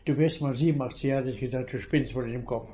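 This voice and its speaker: Elderly man in his eighties, slow and halting. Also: mid-range voice, lo-fi sound. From northwest Germany. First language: Low German. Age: 80s. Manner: slow, halting storytelling with restarts. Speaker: retired veterinarian. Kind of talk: reminiscing about childhood.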